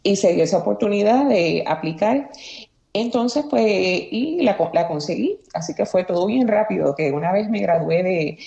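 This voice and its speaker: A woman's voice: mid-range voice, animated, moderate pace, gravelly, warm tone, dull audio. A Puerto Rican woman in her thirties with a Puerto Rican accent, a journalist guest, speaking hesitantly with many fillers and restarts over a remote call. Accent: Puerto Rican Spanish. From Aguada, Puerto Rico. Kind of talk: casual interview chat.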